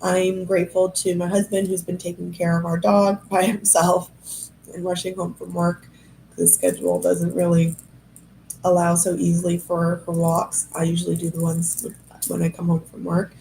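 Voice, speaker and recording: A young adult woman with a gravelly, creaky edge and a nasal, cold-stuffed voice hosts a casual livestream. She rambles cheerfully and amuses herself as she talks to her viewers from an apartment. There is a faint hiss of noise under her voice. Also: lowish voice, mellow, poor audio. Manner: chatty, rambling, self-amused. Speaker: podcast host. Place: high-rise apartment indoors.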